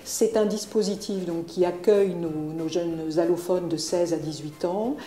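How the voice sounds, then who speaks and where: Lowish female voice, mellow, formal presentation, measured, echoey. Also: steady tone. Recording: echoey room.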